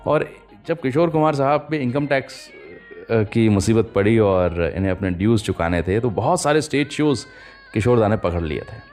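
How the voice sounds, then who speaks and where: A man's voice: lowish voice, animated, normal speed, a little mellow. A man hosting a radio show, telling anecdotes in a storytelling manner and voicing the quoted exchanges. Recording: music playing behind the voice. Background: music.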